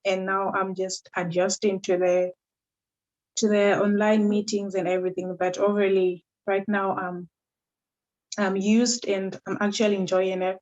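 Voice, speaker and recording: A woman in her twenties from Zimbabwe speaking calmly on a video call panel. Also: medium pitch, unhurried. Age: 20s. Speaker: student panelist. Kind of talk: casual chat. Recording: slightly dull video call audio.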